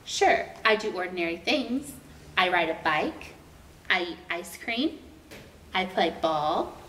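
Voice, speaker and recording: A young adult woman reading a children's picture book aloud as a storytime host, with deliberate, expressive storytelling for young children. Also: fairly low pitch. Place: indoor room with painted mural. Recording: roomy, distant mic, faint noise.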